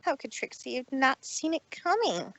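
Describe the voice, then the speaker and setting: A woman's voice: high-pitched, big pitch swings, moderate pace, fairly bright tone, no echo, voice pushed up, exaggerated voice, rough recording. A woman voicing a proud but troubled female pony magician, theatrical and formal, who speaks of herself in third person. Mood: guilty, distressed.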